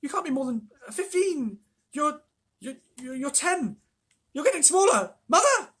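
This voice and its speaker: A reader voicing a panicked middle-aged mother who wails in a shrill, high voice as her family shrinks away. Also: big pitch swings, normal speed, fairly bright tone.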